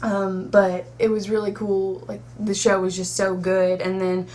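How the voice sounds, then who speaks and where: A woman's voice: medium pitch, little pitch movement, darkish tone. A teenage girl chatting casually in a vlog, rambling and giggly, with lots of filler words. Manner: giggly, rambling, fast, with many "like"s. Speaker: vlogger. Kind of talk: casual chat. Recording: faint background noise.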